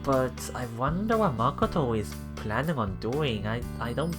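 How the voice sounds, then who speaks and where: Halting low-voiced man reading a visual novel. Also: sing-song, music in the background. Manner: halting, stumbles and repeats words while reading. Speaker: let's play host. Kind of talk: reading visual novel text aloud with casual asides.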